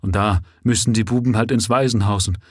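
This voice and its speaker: A man's voice: low voice, fairly even intonation, brisk, a little mellow, slightly rough, dry recording. A man voicing a gaunt, pale widowed father just out of prison, pleading in a trembling, urgent voice.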